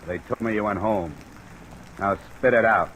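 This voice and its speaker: An actor playing a tough, wry American private investigator in an old radio noir drama, narrating and talking tersely. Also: male voice, on the low side, normal speed, dull audio, lightly acted. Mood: angry, demanding. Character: hardboiled male private investigator, dogged and dry. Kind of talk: 1950s radio noir acting and first-person narration. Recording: noisy old radio recording, steady hiss.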